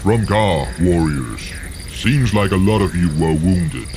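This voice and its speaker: An actor playing a stern, proud and impatient orc chieftain in a fantasy game cutscene. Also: male voice, sits low, expressive, slow, a little mellow, a little hiss or hum, exaggerated voice. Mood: grave, commanding.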